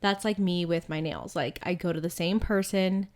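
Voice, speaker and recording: A woman's voice: fairly low pitch, fairly even intonation, moderate pace, darkish tone. A woman in her twenties co-hosting a podcast, chatting casually and saying "like" often. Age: 20s. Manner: chatty, fills speech with "like". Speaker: podcast co-host. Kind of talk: casual chat.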